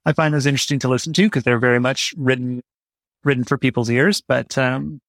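A man's voice: medium pitch, steady tone, a little fast, no echo, clean studio sound. A man speaking casually as an interview guest, thinking aloud and often rephrasing himself as he explains his writing. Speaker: podcast guest, playwright.

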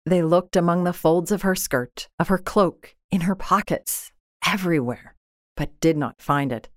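A woman narrating a literary short story in a measured, expressive, scripted style. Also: fairly low pitch, no echo, clean studio sound.

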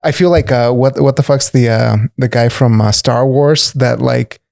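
A man's voice: mid-range voice, normal speed, gravelly, a little mellow, no room sound, studio quality. A man hosting a podcast interview in casual chat, rambling, joking and swearing a lot. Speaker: podcast host.

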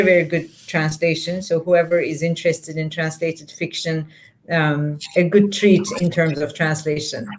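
Woman author. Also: low voice. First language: Turkish. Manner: thoughtful, with frequent pauses and fillers. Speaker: author, guest. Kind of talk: casual interview talk.

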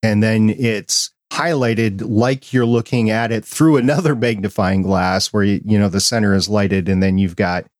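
A man's voice: on the low side, moderate pace, few pauses, no echo, studio quality. A man hosting a podcast interview in a casual, conversational way, phrasing his thoughts with deliberation. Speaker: podcast host.

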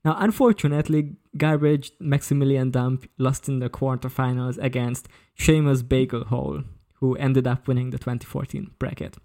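Male podcast presenter, casual storytelling delivery, average pitch with noticeable vocal fry. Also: fairly even intonation, moderate pace, few pauses, warm tone. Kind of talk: casual presentation with read-out excerpts.

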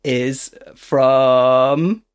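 Chatty laughing podcast host. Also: male voice, medium pitch, fairly even intonation, drawn-out words, clean tone. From northeast England. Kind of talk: casual chat.

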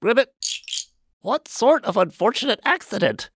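An actor playing a small, polite, curious male frog in an audio drama, punctuating speech with croaks. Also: medium pitch, big pitch swings, moderate pace, dry recording, clean studio sound. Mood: wary, curious.

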